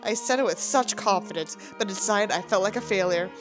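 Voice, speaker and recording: A woman's voice: mid-range voice, a little fast, music in the background. A woman doing a sarcastic dramatic reading, with theatrical, mocking delivery and sweary commentary. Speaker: host reading a book aloud with asides.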